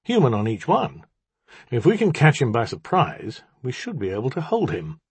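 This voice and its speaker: A man voicing a proud old spirit in rat form for a storybook, sardonic, brisk and easily huffy. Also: medium pitch, wildly swooping pitch, breathy edge, no room sound, full character voice. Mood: offhand.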